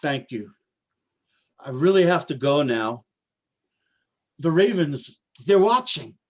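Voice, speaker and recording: Male actor as paranoid homeless young prophet: high-pitched, slow, halting delivery, dramatic stage-play intensity. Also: animated, darkish tone, dull audio. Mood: paranoid, despairing. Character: troubled homeless young man, paranoid doomsday poet-prophet. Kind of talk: staged play reading, reciting verse.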